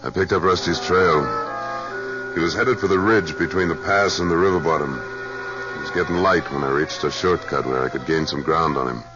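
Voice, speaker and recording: A man's voice: rumbling low, normal speed. A man acting a tough, laconic cowboy hero in a 1950s radio western, speaking and narrating in a terse, commanding manner. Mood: grim narration. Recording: dull 1950s radio recording with music.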